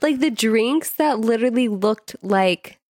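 A woman's voice: fairly high pitch, animated, a little slow, a little mellow, no room sound, clean studio sound. An American woman co-hosting a podcast in casual conversation, informal and peppering her talk with "like". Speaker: podcast co-host. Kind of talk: casual chat.

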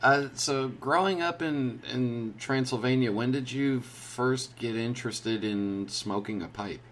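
A man's voice: medium pitch, a little slow, a bit bright, a little hiss or hum. A man hosting a podcast and interviewing a guest in a casual, friendly manner. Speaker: podcast host.

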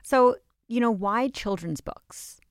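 A woman's voice: a little high, takes it slowly, dry recording, clean studio sound. A woman hosting an interview, asking questions and responding with encouraging affirmations. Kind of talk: conversational interview.

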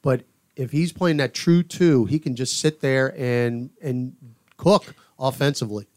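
A man's voice: mid-range voice, a little slow, a little mellow, no room sound, clean studio sound. A man giving conversational sports analysis as a podcast panelist, speaking emphatically and repeating key points.

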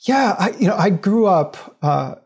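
A man's voice: sits high, lively intonation, a little slow, darkish tone, slightly rough. A man from the United States, a professor, answering interview questions earnestly and explaining at length. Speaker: guest, professor.

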